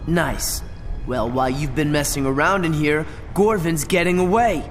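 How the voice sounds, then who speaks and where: A man voicing a sarcastic, cocky teenage tough guy in an animated action game, blunt and sardonic. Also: a little high, moderate pace, lightly acted. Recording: reverberant hall, loud music behind.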